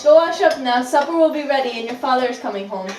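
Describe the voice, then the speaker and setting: A woman's voice: high-pitched, lively intonation, quick pace. A woman playing a doting, cheerful 1950s housewife and mother in a household sketch. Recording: roomy, distant mic, faint noise.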